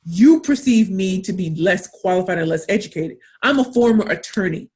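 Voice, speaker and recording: A woman with a St. Louis accent coaching a workshop on pitching, speaking emphatically and repeating key phrases for punch. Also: animated, normal speed, a little mellow. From St. Louis, Missouri. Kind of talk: motivational workshop talk.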